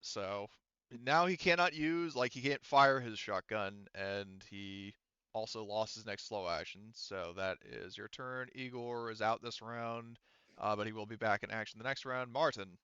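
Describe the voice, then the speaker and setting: Bright, clear-voiced man running a game. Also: on the low side, measured pace, no echo, lo-fi sound. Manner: explanatory, thinking aloud with self-corrections. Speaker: game master. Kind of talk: casual tabletop game narration and rules talk.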